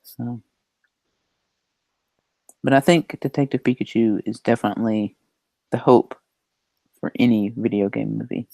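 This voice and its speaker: Gravelly guy on a podcast, dry close sound, measured opinions, medium pitch. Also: steady tone, mellow, no room sound, low-quality recording. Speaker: podcast panelist. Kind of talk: casual discussion.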